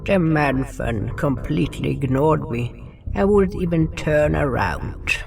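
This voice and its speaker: A man's voice: on the high side, animated, a little slow, gravelly. A man narrating a scripted first-person fantasy tale as a solemn storyteller. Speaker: narrator and writer. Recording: distant voice, music underneath.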